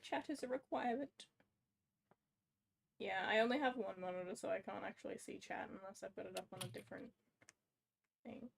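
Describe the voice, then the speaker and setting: A woman's voice: medium pitch, steady tone, fairly quick, vocal fry, pauses often, breathy edge. A woman co-hosting a casual livestream, scattered and self-mocking as she talks herself through her own fumbles. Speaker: livestream co-host.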